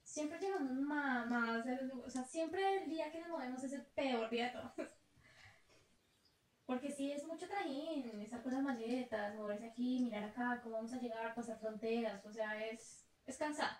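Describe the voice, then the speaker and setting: A woman's voice: high voice, moderate pace. A young woman with a Colombian Spanish accent, chatting reflectively about travel as a vlogger. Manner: chatty, reflective, laughs easily. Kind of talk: casual chat. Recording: echoey room with faint noise.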